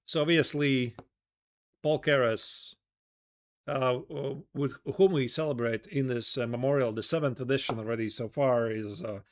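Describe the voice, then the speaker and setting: A man with a Latvian accent and a mid-pitched voice commentates live on chess. He talks casually, thinking aloud in short phrases and putting questions to himself as he goes. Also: normal speed.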